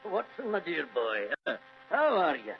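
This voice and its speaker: A hearty old Scottish grandfather of the landed gentry, pitched high and swinging widely in tone. He exclaims his way through an old radio play on a dull vintage recording. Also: wildly swooping pitch, moderate pace, gravelly, soft background music, exaggerated voice. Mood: jovial, hearty. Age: older. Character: jovial old Scottish baronet, hearty grandfather, excitable host. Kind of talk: old-time radio drama acting.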